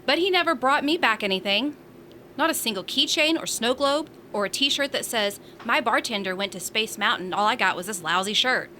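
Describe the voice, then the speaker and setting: A woman acts out a feisty space station resident in a science fiction audio drama. Her voice is bright and punchy, and she teases with sassy, bantering delivery. Faint background ambience runs behind her. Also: a little high, animated, moderate pace, slight background noise. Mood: chatty, teasing.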